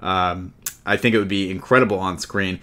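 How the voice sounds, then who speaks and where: A man with a fairly low voice hosts a casual podcast. He talks through entertainment news in a chatty, enthusiastic fan's manner, steering the discussion. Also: fairly even intonation, normal speed, bright, forceful tone. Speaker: podcast host.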